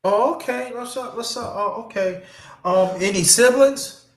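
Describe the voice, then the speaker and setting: A man in his fifties from New York, hosting a casual live-stream chat and giving loud shout-outs to viewers. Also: very high-pitched, a little slow. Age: 50s. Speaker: livestream host.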